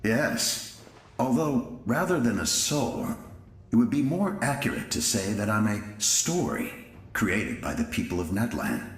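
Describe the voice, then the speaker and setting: A proud, ruthless dead knight in a video game, very deep and slow. He is grave and theatrical, with echo around his words. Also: big pitch swings. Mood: solemn pride. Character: ruthless, proud, long-dead male knight commander's deputy. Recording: echoey, music behind.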